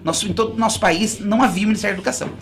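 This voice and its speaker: A woman's voice: mid-range voice, rapid-fire. A school director from Brazil, a guest on a radio interview. She explains in long, earnest runs and often restarts her sentences. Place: radio studio.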